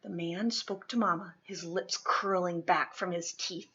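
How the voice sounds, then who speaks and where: A woman reads a storybook aloud with a bright, forceful edge and a husky grain. She leans into the drama, swelling on key words and dropping softer on others. The recording is a little dull and lo-fi. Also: on the low side, steady tone, measured pace. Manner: dramatic, with emphatic swells and hushed drops. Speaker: storybook reader. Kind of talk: expressive read-aloud of children's historical fiction.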